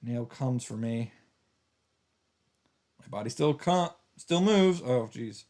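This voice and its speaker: A man giving casual let's play commentary and reading visual novel narration aloud in a halting, rambling way. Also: mid-range voice, animated, mellow.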